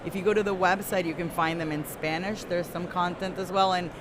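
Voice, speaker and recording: A woman's voice: lowish voice, steady tone, brisk. A woman chatting casually as an interviewer. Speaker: interviewer, conference volunteer. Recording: clear urban background noise.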